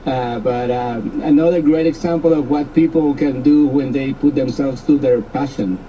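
A man's voice: medium pitch, fairly even intonation, normal speed, warm tone, a little hiss or hum. A man hosting a radio interview, asking long rambling questions with repeated words. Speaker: radio host. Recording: distant, dull radio audio.

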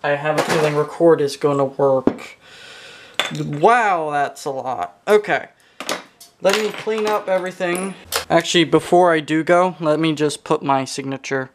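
A man's voice: a little high, unhurried, noisy background. A young man giving casual hands-on commentary as a hobbyist repairer, muttering to himself with exclamations and casual swearing. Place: indoors at a workbench.

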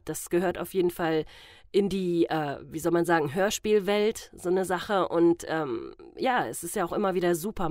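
A woman's voice: on the low side, dry recording, clean studio sound. A woman speaking casually, recording a personal congratulatory message as a guest. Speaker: guest well-wisher from an audio drama cast.

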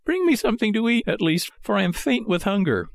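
A man's voice: sits high, very animated, fairly quick, gravelly, no room sound, clean studio sound, voice pushed up, full character voice. A man voicing an impatient, dramatic wooden marionette boy from a storybook, pleading and exclaiming. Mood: desperate, pleading. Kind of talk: storybook character voice.

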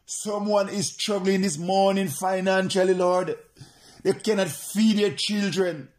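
Slow, fervent preacher man praying aloud. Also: high-pitched, steady tone. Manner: repetitive, insistent, chant-like phrasing. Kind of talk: fervent prayer and preaching to remote listeners.

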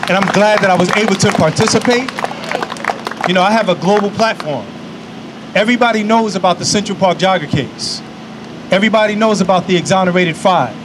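A man's voice: high-pitched, a little fast. A middle-aged man from New York giving a preacherly, emphatic speech at an outdoor podium microphone, repeating his key phrases. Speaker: invited speaker. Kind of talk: speech at an outdoor public event. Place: outdoor park lawn by a city building. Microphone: podium microphone on a stand. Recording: outdoor traffic noise. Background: city traffic and street ambience.

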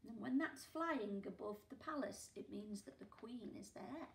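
Woman, teacher reading picture book to kids, hushed asides, slightly high voice, slow, roomy echo. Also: creaky, a little hiss or hum. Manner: expressive read-aloud, gasps and hushed asides to young listeners. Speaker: primary school teacher. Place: living room at home, indoors. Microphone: camera a few feet away.

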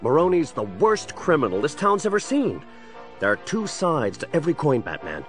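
An actor playing a tormented district attorney cracking into a villain, brooding and theatrical, in a superhero audio drama. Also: male voice, a little high, wildly swooping pitch, normal speed, faint music behind. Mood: bitter, urgent. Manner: brooding, theatrical, dragging out words. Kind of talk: full-cast superhero audio drama acting.

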